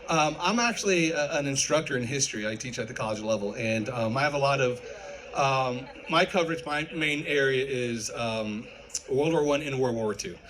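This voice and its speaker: A man's voice: medium pitch, normal speed, fairly bright tone, a little hiss or hum, low-quality recording. A man speaking as a college history instructor, putting a wordy, earnest question in a live debate.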